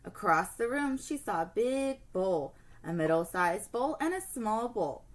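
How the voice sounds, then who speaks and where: A woman teacher reading a storybook aloud to children in an expressive storytelling manner. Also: mid-range voice, a little slow.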